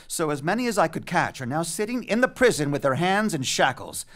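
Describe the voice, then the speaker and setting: A man's voice: on the high side, big pitch swings, a little fast, fairly bright tone. An actor playing an arrogant, authoritarian king with a commanding, sneering delivery in a dramatic stage reading of Greek tragedy. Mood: scornful. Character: arrogant, authoritarian young male king, scornful and enraged. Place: stage in a theatre. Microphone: tabletop microphone.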